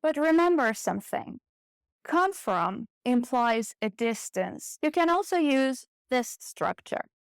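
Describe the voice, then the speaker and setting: Female English teacher, slightly high voice, deliberate and slow, dry recording. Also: very animated, dry recording. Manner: slow, clear, deliberate explanations. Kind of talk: online language lesson.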